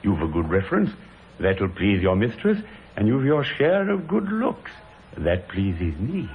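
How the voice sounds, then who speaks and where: An oily husband on tinny old radio. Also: male voice, lowish voice, huge pitch swings, measured pace, background noise, full character voice. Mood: smooth, appraising. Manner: oily, flirtatious, wheedling. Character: smooth, lecherous, scheming husband and employer. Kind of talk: old-time radio drama acting.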